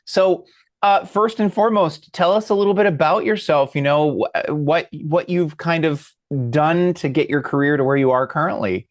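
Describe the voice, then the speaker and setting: A man's voice: on the high side, moderate pace, dry recording, clean studio sound. A man hosting an interview with a flutist over a video call, friendly and prone to restarts and filler words. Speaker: host and interviewer. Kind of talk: interview conversation.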